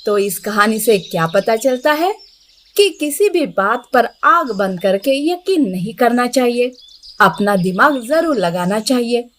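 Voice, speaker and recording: High-pitched, slow woman storyteller. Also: big pitch swings, a bit bright, slight background noise. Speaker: story narrator. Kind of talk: scripted narration of a children's moral story. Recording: birdsong and ambience behind the voice.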